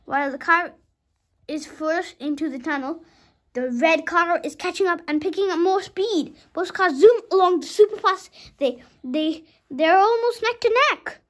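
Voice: very high-pitched, normal speed, a bit bright. A young child of about five reading a storybook aloud dramatically, sometimes stumbling over words and rereading them.

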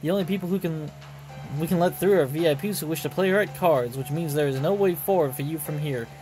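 A man's voice: on the high side, fairly quick, a little mellow, soft background music, natural voice. A man voicing a stern male knight from a game, formal and curt.

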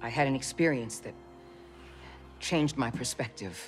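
A woman playing a calm, middle-aged former warrior mentor in a cinematic video game, measured and earnest. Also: a bass voice, faint music behind. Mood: solemn.

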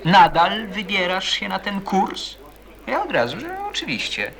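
A man's voice: high voice, wildly swooping pitch, unhurried, a bit bright, exaggerated voice. A man playing a bitter, defensive teenage schoolboy in a radio play, defiant, sarcastic and colloquial. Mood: wry storytelling. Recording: busy chatter of people behind. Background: crowd chatter, as in a café.

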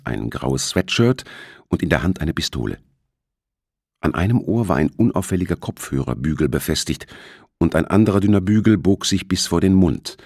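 Male audiobook narrator for a thriller, deep voice, lively intonation, close dry recording. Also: husky, no echo.

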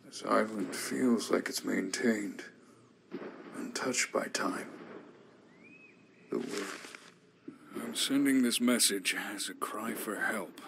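A man voicing a shipwrecked survivor who narrates island horrors for a game in a somber, measured way. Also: fairly low pitch, gravelly, noisy background.

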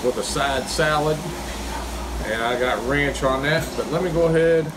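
A man's voice: on the high side, normal speed, background noise. A man, chatting casually as he reviews diner food while eating. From Kentucky, US. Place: small diner, indoors. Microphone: phone camera across the table.

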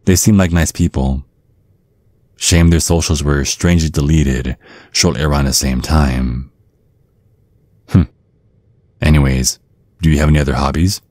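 A man's voice: rumbling low, fairly even intonation, measured pace, pauses often, a little mellow, dry recording. A man playing a centuries-old vampire father in an ASMR roleplay, mock-polite and condescending with a sly threat underneath. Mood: veiled threat. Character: menacing, genteel centuries-old vampire father sizing up suitor. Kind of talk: ASMR roleplay, scripted monologue.

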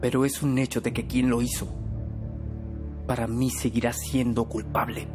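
Un actor de doblaje que interpreta a un joven serio y desafiante, reservado, directo e indignado. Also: male voice, medium pitch, level tone, moderate pace, over music, natural voice. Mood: indignant disbelief. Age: young adult. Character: earnest, defiant young man, guarded and indignant. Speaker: dubbing actor in a TV drama. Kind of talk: dubbed television drama dialogue.

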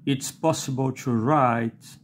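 A middle-aged man with a Brazilian Portuguese accent talks through a pen review at his desk. His English is that of a non-native speaker, unhurried and halting, full of "uh"s and a questioning "okay?". A faint hiss of noise sits under the voice. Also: medium pitch, steady tone. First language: not English. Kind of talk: unscripted product review while writing. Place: indoors at a desk.

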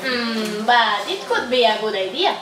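A woman's voice: a little high, expressive, measured pace, crowd noise. A young woman voicing an eager, nervous hunter brother in an amateur puppet play, hesitant and pleading. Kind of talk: amateur puppet show acting. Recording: echoey room, distant, audience noise.

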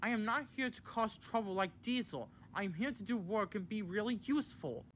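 An earnest diesel engine from a children's storybook, voiced by a man, speaks up in a raised, high pitch. The delivery is indignant and emphatic, standing firm on being a hard worker. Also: expressive, a little fast, a bit bright, slight background noise. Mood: stern, indignant. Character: earnest diesel engine, severe when wronged, forgiving.

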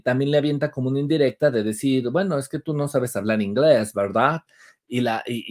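He's a young man with a Mexican accent gossiping on a video call from his room at home. His voice sits at an ordinary middle pitch, and he talks in a lively, teasing way with quick laughs and mocking little asides. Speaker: co-host. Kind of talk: casual gossip chat.

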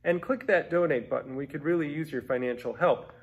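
Middle aged guy hosting, kinda high husky voice, playful and hammy, theatrical comic intro. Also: fairly quick. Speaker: presenter. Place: small furnished room indoors.

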